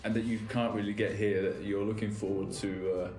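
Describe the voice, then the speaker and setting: A man's voice: on the low side, flat delivery, fairly quick, darkish tone. A man interviewing a guest, talking in a loose, rambling way with many fillers. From England. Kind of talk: casual interview chat. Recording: echoey room with music behind.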